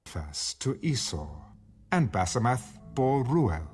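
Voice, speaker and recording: A man giving a formal, measured scripted narration of scripture, as in an audio Bible. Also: mid-range voice, big pitch swings, a little mellow. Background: background music.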